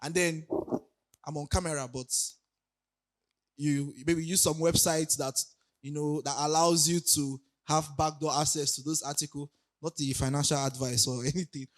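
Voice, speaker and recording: A young man speaks at a conference, his voice raspy as he recovers from illness. He sits a little higher than most men and stops often to hesitate or restart a thought. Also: fairly even intonation, normal speed. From Nigeria. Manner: hesitant, many ums and restarts, laughs lightly. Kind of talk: casual conference talk. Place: conference hall.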